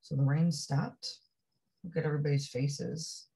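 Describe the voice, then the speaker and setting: A woman reading a picture book aloud to young children, expressively, with drawn-out phrases and chatty asides that put questions to the listener. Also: deep voice, fairly even intonation, creaky, dark tone, slightly rough. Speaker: storybook reader.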